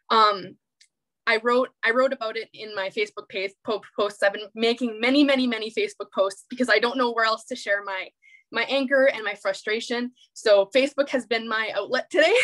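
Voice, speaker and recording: A woman's voice: a little high, fairly bright tone, poor audio. A young woman student with a Canadian accent speaking out emotionally and emphatically at an online public meeting over a video call. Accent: Canadian English. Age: young adult. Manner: emotional, emphatic, repeats and restarts words. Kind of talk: impassioned public meeting speech.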